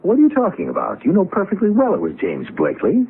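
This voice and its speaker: A disillusioned older partner in a 1970s radio play, sardonic and emphatic. His voice is rough and breathy, with very wide swoops in pitch. It sounds boxed-in, like vintage radio. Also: wildly swooping pitch, fast, heavily acted. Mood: scornful amusement. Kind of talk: 1970s radio drama acting. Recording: muffled vintage radio broadcast.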